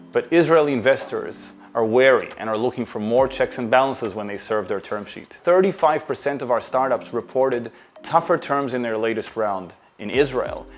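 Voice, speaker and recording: Male expert, steady and explanatory, mid-pitched, light music under the read. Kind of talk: scripted insight delivery.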